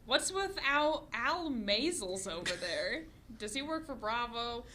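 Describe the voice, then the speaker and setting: Guarded young heroine acted by a woman, sarcastic, bright highish lively voice, comedy table read, faint noise. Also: slow, heavily acted. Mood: wary. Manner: sarcastic, wary. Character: guarded, sardonic young female superhero.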